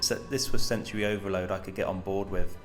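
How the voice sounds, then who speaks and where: Low voiced guy doing slow dreamy narration over soft music. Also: steady tone. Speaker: vlogger narrating his climb.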